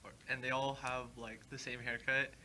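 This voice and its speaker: Teen boy host, bright voice at middle pitch, casual and loose, with a faint noise floor. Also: little pitch movement, normal speed. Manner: loose, fillers and backchannel hums. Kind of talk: casual interview.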